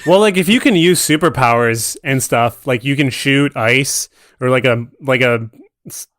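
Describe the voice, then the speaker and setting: A man's voice: mid-range voice, moderate pace, fairly bright tone, no echo. A man chatting casually as he gives film commentary on a podcast.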